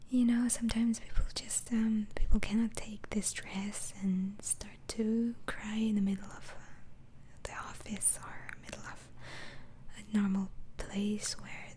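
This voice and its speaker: Slow whispering woman as a gentle female doctor. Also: mid-range voice, little pitch movement, lightly acted, rough recording. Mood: soft, inquisitive. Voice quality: soft breathy whisper. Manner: halting, hesitant, murmuring acknowledgements. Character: gentle, inquisitive female doctor interviewing a mental patient. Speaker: ASMR roleplay performer. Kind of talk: whispered ASMR roleplay, improvised interview. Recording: faint background noise.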